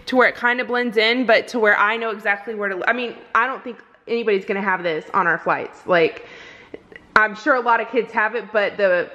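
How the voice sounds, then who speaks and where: A woman in her thirties chatting casually to viewers while filming herself at arm's length in her home kitchen, animated and slangy. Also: medium pitch, slight background noise. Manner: animated, slangy, talks straight to viewers with frequent "okay?". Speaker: vlogger. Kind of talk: casual chatty haul vlog. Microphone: handheld camera at arm's length.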